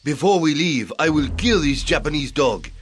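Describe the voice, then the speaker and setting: An actor playing a dutiful soldier in a video game, speaking in a formal military manner. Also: male voice, a little high, lively intonation, normal speed, slight background noise, close to an everyday voice. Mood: vengeful. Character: dutiful male soldier reporting to his admiral. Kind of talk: scripted video game voice acting.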